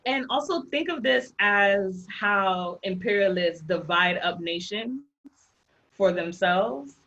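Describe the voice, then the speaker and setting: A woman's voice: mid-range voice, animated, slow, fairly bright tone. Haitian-accented woman giving a housing rights workshop, hammers her points home, muffled call audio. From Haitian background. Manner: emphatic, repeats key points. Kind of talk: presenting slides in a workshop.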